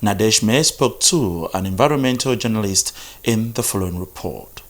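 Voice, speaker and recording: A man's voice: on the low side, animated, normal speed. A man with a Cameroonian accent presenting the news from a script.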